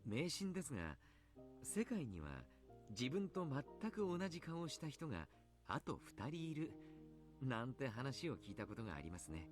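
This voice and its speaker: An actor playing a jovial, kindly adult coach in a visual novel, polite, chatty and playfully teasing. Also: male voice, mid-range voice, sing-song, fast talker, music in the background, close to an everyday voice. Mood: calm storytelling. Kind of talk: Japanese visual novel voice acting.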